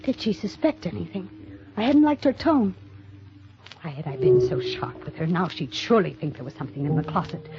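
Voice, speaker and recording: An actress playing an anxious young woman hiding a crime, speaking dramatically and deliberately in a 1950s radio mystery. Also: fairly low pitch, animated, very noisy. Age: young adult. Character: anxious young woman hiding a murder, narrating her ordeal. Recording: muffled old radio broadcast, music behind.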